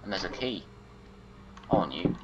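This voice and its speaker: A deep-voiced man narrating his own gameplay, grumbling to himself as he searches. He mutters and talks back at things in the game. The recording is noisy, with a steady hiss behind his voice. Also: moderate pace, muffled recording. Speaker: gameplay commentator. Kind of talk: casual gameplay commentary.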